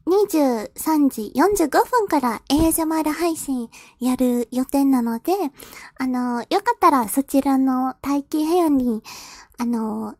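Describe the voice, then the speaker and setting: A woman's voice: sits high, brisk, no room sound, studio quality. A woman streamer reading fanfiction aloud, giggling and stumbling over the words as she goes.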